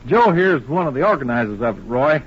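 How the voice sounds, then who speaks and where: A man playing a loyal, soft-hearted cowboy sidekick, rustic and halting, with a Western American accent in radio western style. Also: mid-range voice, sing-song, slightly rough. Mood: earnest. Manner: rustic, plain-spoken, halting. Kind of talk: 1940s radio western acting. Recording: dull old radio recording, faint noise.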